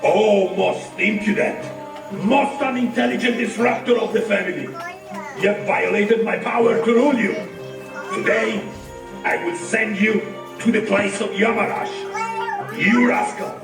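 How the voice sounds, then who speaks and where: Puppet show villain: arrogant demon king played by a man, gravelly, scolding, theatrical, heard from a distance over music. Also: high voice. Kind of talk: scripted puppet show dialogue. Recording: echoey, distant, music behind.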